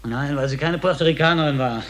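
A male actor plays a young, rattled murder suspect in a radio crime drama. His voice sits slightly high for a man, and he talks in plain, defensive street language. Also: fast, a bit bright, lightly acted.

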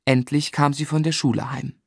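An actor playing a grown man gently and wistfully recounting his boyhood as a measured radio drama narrator. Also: a little high, little pitch movement, gravelly, husky, no room sound, studio quality. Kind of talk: literary first-person narration in radio drama.

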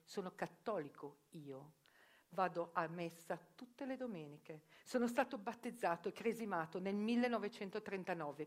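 A proud older sworn virgin who has lived as a man for decades speaks in a lowish, steady voice. The telling is stern and matter-of-fact, like testimony in a theatre monologue for radio. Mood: defiant. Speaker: actress performing a theatrical reportage.

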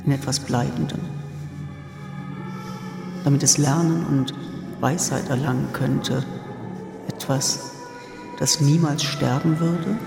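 Creaky-voiced earnest thinker. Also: male voice, medium pitch, fairly even intonation, slow, pauses often. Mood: earnest, pondering. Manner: reflective, questioning. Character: earnest thinker musing on machine consciousness and immortality. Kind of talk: German radio drama monologue. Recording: reverberant hall, music behind.

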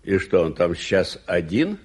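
Blustering war-veteran uncle, wildly swooping delivery. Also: male voice, sits low, big pitch swings, normal speed. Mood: brisk. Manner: theatrical, swinging from indignant to courteous. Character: blustering uncle, proud old Indian-war veteran businessman. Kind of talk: 1980s Soviet radio play acting.

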